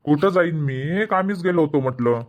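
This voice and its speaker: A man's voice: on the high side, normal speed, natural voice. A man voicing an evasive village farmer husband in a dubbed cartoon comedy, grumbling and bossy.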